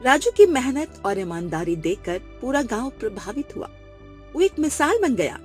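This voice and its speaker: A woman's voice: fairly high pitch, big pitch swings, moderate pace, a little mellow, soft background music. A woman narrating a scripted moral story for children.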